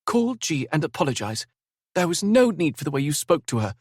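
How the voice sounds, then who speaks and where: A man's voice: fairly high pitch, big pitch swings, brisk, breathy edge, no room sound, clean studio sound, voice pushed up. A man voicing Zara, a composed young woman who speaks her mind steadily. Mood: firm. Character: young woman Zara, calm, firm, level-headed.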